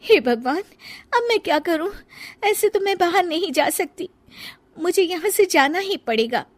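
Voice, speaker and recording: A woman's voice: very high-pitched, lively intonation, a little slow, voice pushed up. A woman voicing an anxious, meek young daughter-in-law with a secret in a family story, pleading and deferential. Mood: panicked. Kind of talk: dramatized Hindi family storybook acting.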